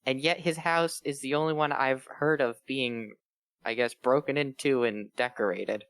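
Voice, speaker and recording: A man's voice: medium pitch, fairly even intonation, moderate pace, fairly bright tone, smooth, no room sound. A man chatting casually and riffing with dry, absurd jokes. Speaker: co-host of a comedy reading show. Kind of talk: casual joking banter.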